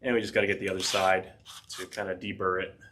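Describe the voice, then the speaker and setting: A man's voice: low-pitched, level tone, fast, noisy background. A man hosting a DIY tech channel, talking casually through a repair with a head cold, rambling with fillers and dry asides. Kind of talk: casual DIY workshop narration. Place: home workshop.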